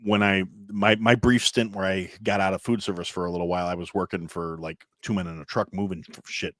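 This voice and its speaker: A man's voice: level tone, fairly quick, fairly bright tone, no room sound. Guy from wisconsin rambling thru bar stories, jumps into silly voices, dead dry mic sound. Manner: rambling storyteller, acts out voices with big pitch jumps. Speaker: podcast guest. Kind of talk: casual chat.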